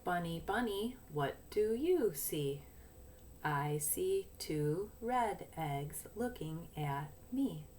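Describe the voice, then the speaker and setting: A woman with a slightly low voice teaches young children at a very slow pace. She explains things simply, step by step, as an early primary teacher does in a read-aloud lesson. Some noise sits behind her voice. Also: expressive, mellow. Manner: simple step-by-step explaining, sounding out phrases deliberately.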